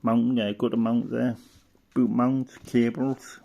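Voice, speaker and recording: A man giving casual, unscripted hobbyist commentary, halting and muttering as he browses, often trailing off. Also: mid-range voice, little pitch movement, vocal fry, mellow. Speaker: hobbyist presenter.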